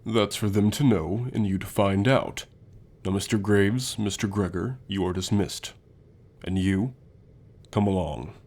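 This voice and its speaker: A man's voice: on the low side, measured pace, a little mellow. A man playing a stern, secretive academy professor with a formal, authoritative manner in an audio roleplay. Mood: stern, commanding.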